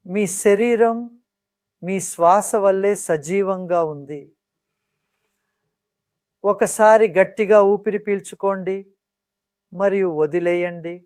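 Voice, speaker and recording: Calm meditation guide in a dry studio sound. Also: mid-range voice, clear, resonant tone, no room sound. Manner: calm, soothing, measured instruction with pauses.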